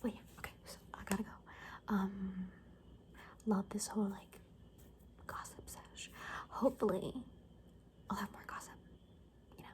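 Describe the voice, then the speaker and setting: A woman playing a chatty, gossipy friend in an apocalypse ASMR roleplay, soft-spoken and breathy, often slipping into whispers, with casual rambling speech. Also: mid-range voice, fairly even intonation, a little slow, long pauses, lightly acted. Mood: casual, resigned. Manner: rambling, casual, gossipy, full of filler words.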